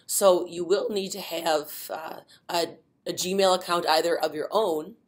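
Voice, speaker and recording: Middle-aged woman, webinar trainer, low-ish voice, filler-heavy, relaxed explaining. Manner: many fillers, unhurried explaining. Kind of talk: informal instructional webinar.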